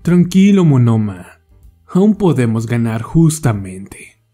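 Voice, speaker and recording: Man playing a mellow, slow-talking teacher. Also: medium pitch, very animated, faint music behind. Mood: calm. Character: calm, reassuring adult male teacher.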